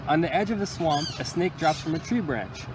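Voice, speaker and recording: A young man reads a picture book aloud for little kids in a fairly high voice that moves up and down a lot. His phrasing is expressive and deliberate, like a storyteller. He is outdoors, with clear ambient noise around him. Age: young adult. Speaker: county environmental employee reading to children. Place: outdoors under a tree by water.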